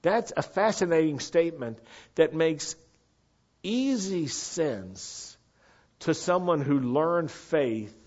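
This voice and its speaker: A man's voice: medium pitch, a little mellow. Man preaching in a muffled recording. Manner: teacherly, asks the audience questions. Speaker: congregation teacher. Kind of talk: Torah-portion sermon. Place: meeting room with a live congregation.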